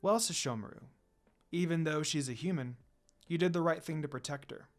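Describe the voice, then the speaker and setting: A man's voice: fairly high pitch, a little fast, natural voice. Man reading as a stately demon mother. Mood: approving, kindly. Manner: formal, questioning. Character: stately demon noblewoman, probing but softening mother. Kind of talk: storybook character reading.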